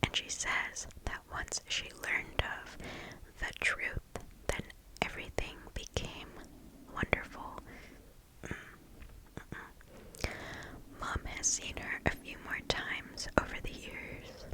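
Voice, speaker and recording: A woman reads stories aloud in a soft, unvoiced ASMR whisper. She sits close to the microphone and takes her time, phrasing slowly and deliberately, with pauses between thoughts. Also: rough recording. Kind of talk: ASMR whispered story reading.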